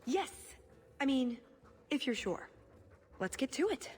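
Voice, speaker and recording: An actress playing a brash, playful huntress in a fantasy game, blunt and cheeky in manner. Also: female voice, high voice, big pitch swings, a little slow, darkish tone, husky, full character voice. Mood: playful, eager. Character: brash, playful female huntress, eager adventurer.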